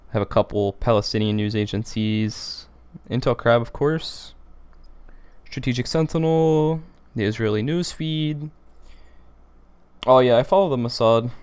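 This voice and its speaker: Teen male streamer, deep voice, rambling casual delivery, mid pitch. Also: moderate pace, a little mellow, smooth. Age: teens. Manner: rambling, repeats phrases, many fillers. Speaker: livestream host. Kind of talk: casual livestream chat reading comments.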